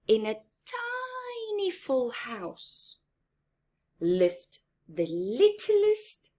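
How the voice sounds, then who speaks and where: Very slow, husky woman reading to children. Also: mid-range voice. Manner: addresses young children, simple explanations, deliberate emphasis. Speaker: storytime reader and teacher. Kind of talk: children's picture-book read-aloud with chatty asides.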